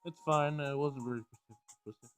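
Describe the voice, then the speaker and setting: A man's voice: medium pitch, steady tone, fast, soft background music. A man reading a visual novel aloud as he plays, sometimes stumbling over words and rereading lines. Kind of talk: Let's Play read-aloud of game text.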